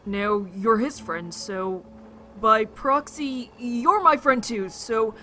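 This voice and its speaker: A woman's voice: fairly high pitch, slow, pushed and bright. A woman playing a loyal, earnest and persistent young friend in a fan audio drama.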